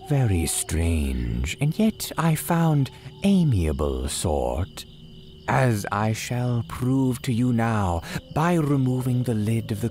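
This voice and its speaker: A man playing a courteous, gleefully sinister cemetery keeper, telling horror tales in grand, archaic, drawn-out phrases like old-time radio drama. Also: lowish voice, a little mellow, full character voice. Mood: grandly inviting. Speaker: horror storyteller host.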